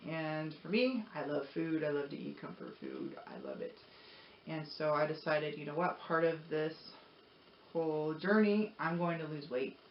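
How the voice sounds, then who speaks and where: A woman's voice: low-pitched, big pitch swings, moderate pace. A woman telling her personal story in a casual, motivational vlog style, conversational and repeating phrases for emphasis. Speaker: vlogger. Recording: roomy echo, dull sound, faint noise.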